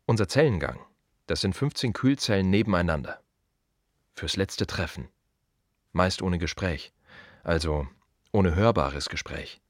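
Husky, wry gravedigger, low-voiced. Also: male voice, dry recording, studio quality. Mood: dry, reflective. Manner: wry, emphatic, repeats short phrases, mimics others. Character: world-weary, wry male gravedigger musing on death and the city. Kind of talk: radio play monologue, conversational and rhythmic.

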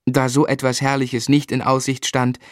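An actor playing a reflective, earnest young gentleman in a literary radio drama, speaking in a measured, sincere way. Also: mid-range voice, completely flat delivery, no room sound, studio quality, natural voice. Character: reflective, earnest young gentleman telling his life story. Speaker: narrator and lead actor.

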